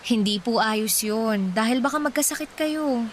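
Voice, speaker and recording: An actress playing a devoted young daughter, earnest and caring in a radio drama. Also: female voice, on the high side, normal speed, slight background noise, close to an everyday voice. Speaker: voice actor.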